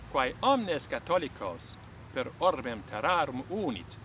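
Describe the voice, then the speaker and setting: Man teaching, distant mic amid loud traffic noise. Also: medium pitch. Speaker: Latin teacher and reader of his own lesson texts. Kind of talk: explanatory live talk and reading aloud.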